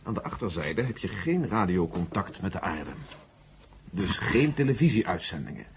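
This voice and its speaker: An actor playing a brusque, authoritative general in a 1970s science fiction radio play. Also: male voice, sits low, big pitch swings, normal speed, gravelly, full character voice. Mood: defensive, irritated. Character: brusque male American general in charge of space mission. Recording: dull, noisy vintage radio play recording.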